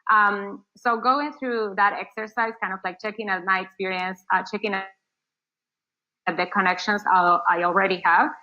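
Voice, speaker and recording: A woman's voice: mid-range voice, steady tone, normal speed, pushed and bright, muffled recording. Argentine woman rambling with fillers. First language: Spanish. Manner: rambling, many fillers and restarts. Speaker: marketing agency founder. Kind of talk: casual interview answers.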